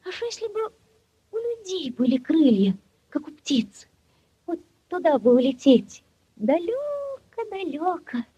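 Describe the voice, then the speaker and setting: Casting: tender, coaxing village girl for vintage radio play, very high, mellow, unhurried. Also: sing-song, full character voice. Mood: dreamy wonder. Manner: tender, girlish, drawn-out delivery. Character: dreamy, coaxing young village sweetheart girl. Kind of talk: 1940s Soviet radio play acting. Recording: muffled 1940s radio recording, music behind.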